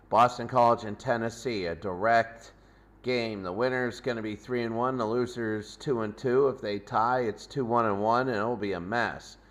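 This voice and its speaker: Guy hosting a sports pregame, mid-range voice, kinda halting and off the cuff. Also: medium pitch. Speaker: sports host. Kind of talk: pregame show commentary.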